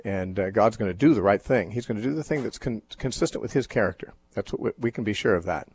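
A man hosting a radio call-in show, teaching on the Bible in a measured, thoughtful way with frequent fillers and restarts. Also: lowish voice, darkish tone, slight background noise.

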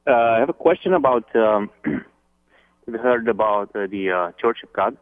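A man calling in to a radio show, speaking hesitantly with pauses. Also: lowish voice, expressive, dry recording, soft background music. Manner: hesitant, halting. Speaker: caller. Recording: tinny phone line.

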